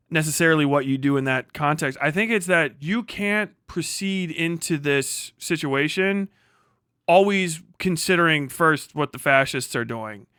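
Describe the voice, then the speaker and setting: A man's voice: fairly high pitch, bright, forceful tone, smooth, no room sound, clean studio sound. A man hosting a livestream in casual chat, rambling along and swearing freely. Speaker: livestream host.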